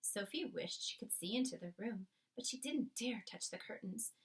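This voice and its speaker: A woman's voice: mid-range voice, expressive, moderate pace, rough recording. A woman reading a children's book aloud as narrator, with dramatic, emphatic storytelling. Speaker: storybook reader.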